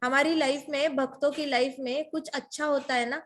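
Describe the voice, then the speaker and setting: A woman's voice: high voice, steady tone, moderate pace, fairly bright tone. A woman giving a devotional talk. She mixes English words into her Hindi and keeps asking her listeners rhetorical questions. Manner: emphatic, full of rhetorical questions. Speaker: devotional storyteller.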